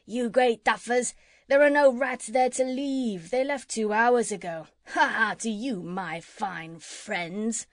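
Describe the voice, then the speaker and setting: A woman's voice: a little high, slow pace, no room sound. A plucky, defiant dog from a children's story, voiced by a woman, eager and scrappy. The recording is dry and close to the mic. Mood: mocking. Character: brave, scrappy male dog.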